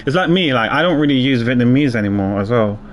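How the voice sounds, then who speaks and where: A man's voice: mid-range voice, animated, fast talker, a little hiss or hum. A man, streaming a game and rambling in casual chat with many restarts.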